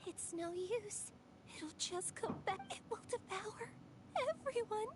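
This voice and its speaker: A woman's voice: very high voice, takes it slowly. A woman voicing a despairing, dread-filled young girl in a video game, fragile and haunted in delivery. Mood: terrified, hopeless. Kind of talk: dramatic video game voice acting. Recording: clear background noise.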